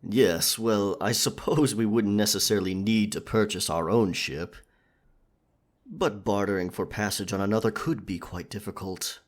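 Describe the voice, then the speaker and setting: Earnest, low-voiced gallant knight played by a man. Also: moderate pace, natural voice. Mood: gentle, formal. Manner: formal, chivalrous, wordy speech. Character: earnest, courteous royal knight from a futuristic realm. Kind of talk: scripted roleplay ASMR audio drama.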